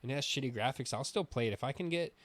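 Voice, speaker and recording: Male gaming podcast co-host, casual and opinionated, loose with swearing, average pitch, very dry sound. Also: racing, dry recording.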